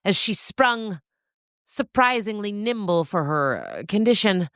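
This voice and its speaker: A woman's voice: mid-range voice, big pitch swings, a little slow, a bit bright, smooth, dry recording, clean studio sound. A woman narrating a comic scripted story as its storyteller.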